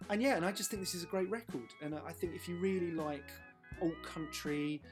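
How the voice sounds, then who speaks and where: A man's voice: sits high, fairly quick. A man speaking as a guest in a casual music chat, enthusiastic and often repeating words as he finds his way. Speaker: guest choosing and discussing songs; pedal steel guitar player.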